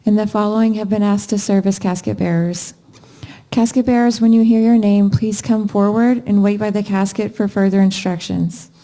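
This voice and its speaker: Brief: female funeral director, soft-edged mellow tone, mid pitch, polite formal announcements. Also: level tone, low-quality recording. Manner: measured, polite, procedural. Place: funeral chapel.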